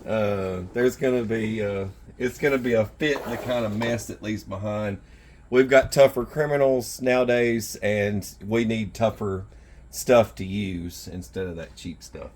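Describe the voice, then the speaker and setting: A man hosting a gear video, talking to viewers in an informal, rambling, folksy way with a rough, husky voice. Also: fairly low pitch, a little slow, a little mellow, a little hiss or hum. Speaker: survival gear video host. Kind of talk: casual product showcase talk to viewers. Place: indoors in a house.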